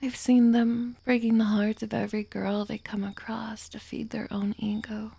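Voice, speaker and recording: A woman playing an obsessive, vengeful murderous writer in an ASMR roleplay, sardonic and menacing, slipping into breathy whispers. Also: a little high, flat delivery, normal speed, warm tone. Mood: bitter, scornful. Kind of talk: ASMR roleplay, dramatic monologue.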